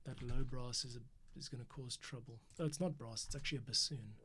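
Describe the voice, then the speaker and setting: A man's voice: medium pitch, brisk. A man giving casual livestream commentary as he works, thinking aloud and explaining each step. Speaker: composer and livestream host. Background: music playback.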